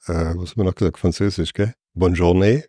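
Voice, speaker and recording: Deep-voiced hesitant man. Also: fairly even intonation, fast talker, dark tone, dry recording, clean studio sound. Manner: hesitant, searching for words. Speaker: passer-by interviewee. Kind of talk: street interview answers.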